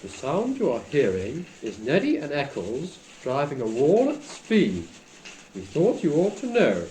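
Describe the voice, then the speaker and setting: A man's voice: fairly high pitch, wildly swooping pitch, measured pace, mellow. A man playing a dry, formal radio announcer, reading scripted comic narration in an amateur production on home cassette. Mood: mock-solemn. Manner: mock-formal. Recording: slightly noisy old home cassette.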